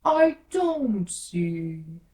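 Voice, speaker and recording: Woman voicing a slow, languid, contemptuous storybook caterpillar. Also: mid-range voice, sing-song, warm tone. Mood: curt, dismissive. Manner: drawn-out, curt, sleepy delivery. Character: languid, stern, contemptuous large caterpillar smoking a hookah. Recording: roomy echo, distant from mic.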